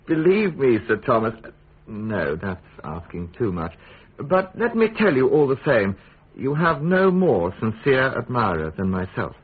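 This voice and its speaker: An actor playing a silky Tudor royal secretary in a radio drama, British, falsely cordial, probing and precise. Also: male voice, mid-range voice, wildly swooping pitch, measured pace, breathy edge, full character voice. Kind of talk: period radio drama acting. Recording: muffled phone-like radio drama.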